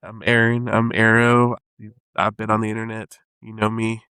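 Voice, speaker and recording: Husky-voiced man with a head cold. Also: lowish voice, monotone, normal speed, sharp tone, dry recording. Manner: laid-back, rambling, laughs often. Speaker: podcast co-host. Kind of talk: casual chat.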